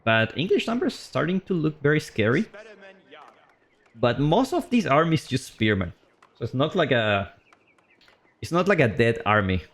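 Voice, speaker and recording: A man streaming live commentary on a strategy game match. He is chatty and loose, and his voice leaps up on big plays before settling back to calm explaining. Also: normal speed, pauses often. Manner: excitable, voice jumps high on big plays, then calm explaining; loose and chatty, with fillers and restarts.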